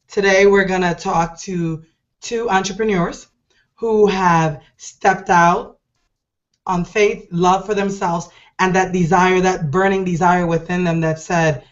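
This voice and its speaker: A middle-aged woman hosting an online show, hoarse and deep-voiced from a cold, talking in a chatty, rambling, enthusiastic way. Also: dull audio. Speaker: host. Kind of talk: casual online show talk.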